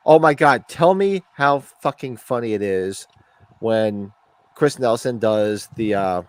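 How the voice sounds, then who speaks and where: A man's voice: fairly low pitch, lively intonation, slow. A man in his forties with a sniffly voice from allergies, chatting casually, rambling and swearing as he jokes. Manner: profane, rambling, joking. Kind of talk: casual chat.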